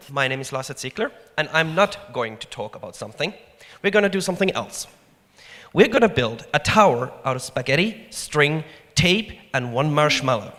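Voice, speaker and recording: A young man giving an upbeat workshop pitch to an audience on a handheld microphone. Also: bright, forceful tone. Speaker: workshop leader. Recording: reverberant hall.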